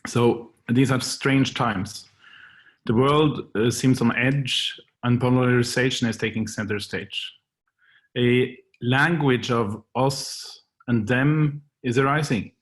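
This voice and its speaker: Norwegian guy giving a slow earnest speech, mid pitch voice. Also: fairly even intonation. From Norway. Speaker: guest speaker. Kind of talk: prepared speech and poetry reading.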